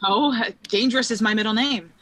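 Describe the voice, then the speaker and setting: Woman playing bright-voiced, curious young dreamer. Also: fairly high pitch, fairly quick. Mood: curious, playful. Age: young adult. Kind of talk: live play reading.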